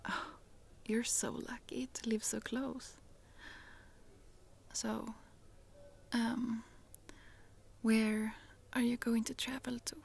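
A woman voicing a friendly, chatty young Swedish traveler with a Swedish accent, in a soft, giggly whisper for an ASMR roleplay. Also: on the high side, little pitch movement, very slow, gravelly, pauses often, slightly rough, exaggerated voice.

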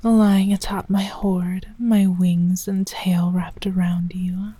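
A doting, possessive mother dragon, voiced by a woman who talks in a hushed, breathy whisper, slow and unhurried with long pauses. Her tone is low and warm. The sound is dry and close, with no room around it. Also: little pitch movement, no echo. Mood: tender whisper. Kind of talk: intimate audio roleplay.

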